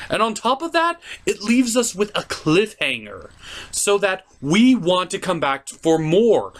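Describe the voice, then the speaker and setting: A man's voice: high-pitched, fairly bright tone. A man hosting a video review, giving enthusiastic, theatrical commentary with emphatic, stretched-out words.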